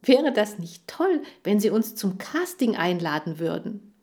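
A woman's voice: mid-range voice, very animated, measured pace, a little mellow, pitched above the performer's own voice. A woman voicing a cheerful, lightly teasing young girl in a children's story. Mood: chatty. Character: cheerful young girl Kira who keeps hamsters.

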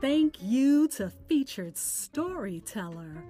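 A woman's voice: a little high, big pitch swings, takes it slowly. A woman presenting a radio show outro in an announcer style, as the host. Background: guitar music.

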